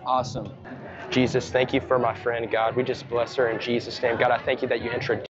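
A man's voice: mid-range voice, level tone, brisk. A young American man in his early twenties from Georgia, speaking earnestly and informally as a street preacher. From Georgia, United States. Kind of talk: casual street evangelism and spontaneous prayer. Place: outdoors on a crowded town street. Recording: muffled outdoor clip with busy crowd. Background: crowd chatter.

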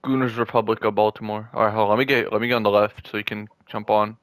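A man's voice: lowish voice, level tone, fast, fairly bright tone, no echo. Joking young man trash-talking a friend. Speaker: gamer. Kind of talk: casual gaming chat with a friend.

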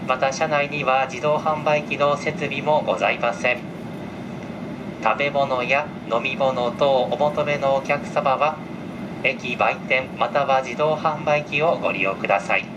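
A man working as a train conductor makes formal, very polite and measured announcements over the cabin speakers. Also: medium pitch, fairly even intonation, dull audio. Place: inside a train car. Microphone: camera picking up cabin loudspeaker. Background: train ventilation and vehicle noise.

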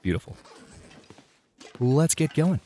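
A man's voice: fairly high pitch, sing-song, slow, warm tone. A man casually narrating his own gameplay as a let's play host, thinking aloud with restarts, sounding slightly congested.

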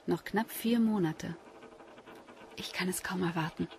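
Radio play: playful young-family mother-to-be, creaky voice, clearly noisy clicking background. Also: fairly low pitch, unhurried. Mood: happy, hopeful. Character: eager, good-humoured pregnant wife. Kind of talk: German radio drama acting.

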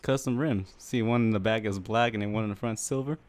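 A man on a casual prank phone call, asking questions in a deadpan way as the caller. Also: lowish voice, brisk. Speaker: prank caller, gaming channel host.

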